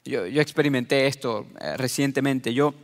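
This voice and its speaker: A man's voice: medium pitch, moderate pace, big-room sound. A middle-aged man from Venezuela preaching a sermon to his congregation, earnest and personal in tone. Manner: earnest, addresses listeners as beloved. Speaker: pastor.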